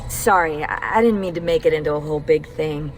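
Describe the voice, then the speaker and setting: A woman's voice: low-pitched, brisk, close to an everyday voice. A woman playing a blunt, informal tech-savvy rebel operative in a video game cutscene. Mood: apologetic. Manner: frank, informal. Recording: long reverb, music underneath.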